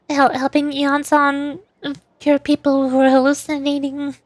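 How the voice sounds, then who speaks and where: A woman streamer giving casual commentary on a game in a halting, wry, musing way. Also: high voice, completely flat delivery, dry recording. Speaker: VTuber streamer. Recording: game music faintly behind.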